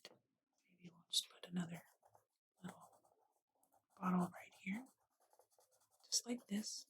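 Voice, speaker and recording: A softly whispering ASMR host of unclear sex narrates a drawing gently and unhurriedly in short murmured phrases, close to the microphone. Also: medium pitch, unhurried. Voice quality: soft, breathy whisper. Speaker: ASMR art channel host. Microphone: close USB condenser microphone. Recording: noisy close mic with clicks and pen scratching. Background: hiss, clicks and writing sounds.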